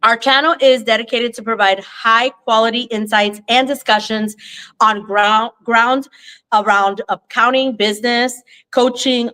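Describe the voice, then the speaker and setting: A woman hosting a live interview at a co-working space, chatty and effusive, with a voice that is going hoarse and breaking into coughs. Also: fairly high pitch, a bit bright. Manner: chatty, effusive, restarts often. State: losing her voice, coughing. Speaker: host, consulting CEO.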